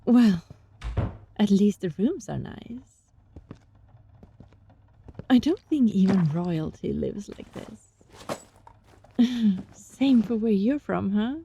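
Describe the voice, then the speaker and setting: A woman playing a gentle, sly young fox-spirit student in an intimate ASMR roleplay, speaking softly and breathily in a coaxing, teasing way. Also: mid-range voice, lively intonation, slow pace, pauses often, a little hiss or hum. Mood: friendly, relieved. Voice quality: soft, breathy.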